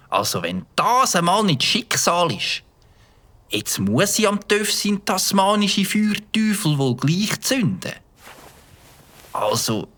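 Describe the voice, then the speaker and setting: A man playing an eager, mischievous young boy with a Swiss German accent, excitably thinking aloud in a children's audio drama. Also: high voice, full character voice. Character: Mike, eager mischievous young boy who loves firecrackers.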